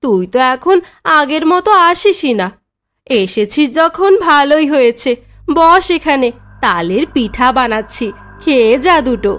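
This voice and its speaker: A woman voicing a welcoming village neighbor who gently chides a visitor, with faint music behind. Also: high-pitched, sing-song, measured pace, heavily acted. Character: friendly village neighbor woman baking cakes.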